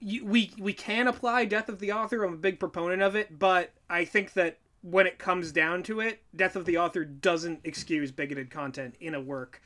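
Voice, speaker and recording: Male co-host, high-pitched and bright, opinionated, for casual conversational critique. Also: fairly even intonation, normal speed, few pauses. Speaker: podcast co-host and audio drama writer.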